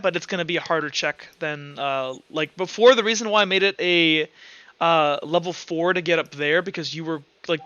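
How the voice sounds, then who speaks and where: High bright-voiced man running a game. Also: no echo. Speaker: game master. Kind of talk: running a tabletop roleplaying game. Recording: dull sound with music underneath. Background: music.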